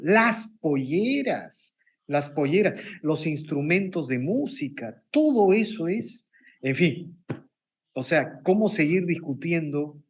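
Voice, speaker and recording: A man with a Peruvian Spanish accent hosting an opinion interview, speaking emphatically with rhetorical questions and repetition. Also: on the high side. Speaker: interview program host.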